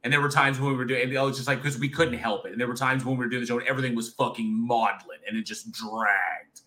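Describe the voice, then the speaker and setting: A man's voice: mid-range voice, fairly even intonation, quick pace, pushed and bright. A man in his thirties co-hosting a casual live chat show, candid and swearing freely, often restarting his sentences. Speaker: co-host of horror review show.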